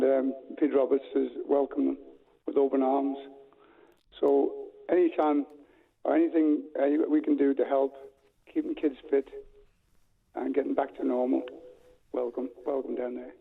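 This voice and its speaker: Gravelly man on a tinny phone line. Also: mid-range voice. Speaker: caller. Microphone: telephone.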